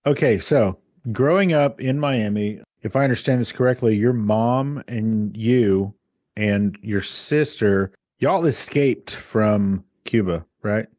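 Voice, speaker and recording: Excited man hosting a podcast. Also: fairly low pitch, no room sound, studio quality. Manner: enthusiastic, gushing, quick asides. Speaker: podcast host. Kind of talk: casual podcast interview.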